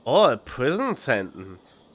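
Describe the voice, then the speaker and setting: A man's voice: medium pitch, very animated, slow, background noise. A man streaming a game with casual playthrough commentary into a studio microphone. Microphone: studio condenser microphone. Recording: game music behind the voice.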